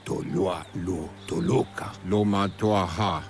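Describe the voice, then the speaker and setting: A man voicing an old male mutant creature who talks in gravelly, babbling gibberish. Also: sits low, steady tone, slow, darkish tone, a little hiss or hum. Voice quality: gravelly, babbling nonsense speech.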